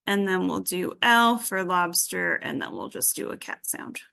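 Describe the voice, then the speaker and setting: Slow-talking woman with a creaky voice. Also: lowish voice, bright, forceful tone. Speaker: workshop instructor. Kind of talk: live software tutorial, thinking aloud.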